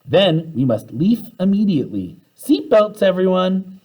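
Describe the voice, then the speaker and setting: Man acting theatrical storybook schoolmistress, eager, grand, raised pitch, lively swoops. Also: unhurried, husky, heavily acted, low-quality recording. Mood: urgent. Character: Miss Frizzle, exuberant, theatrical woman science teacher.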